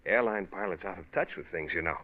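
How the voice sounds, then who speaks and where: An actor playing a bitter, jealous ex-husband in a 1940s radio drama, with a biting, sarcastic manner. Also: male voice, deep voice, quick pace. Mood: sardonic, cool. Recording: narrow-band vintage radio recording.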